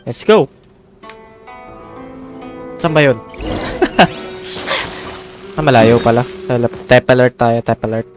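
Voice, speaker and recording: A man's voice: mid-range voice, measured pace, pauses often. A man with a Filipino accent giving casual commentary while playing a video game. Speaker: gameplay streamer. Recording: game music behind the voice.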